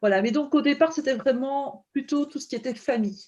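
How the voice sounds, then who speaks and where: A Belgian woman in her fifties with a Belgian French accent, answering interview questions over a video call in a reflective way with frequent pauses. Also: high voice, a little mellow, highs cut off. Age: 50s. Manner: reflective, many hesitations. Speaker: guest, kinesiology coach.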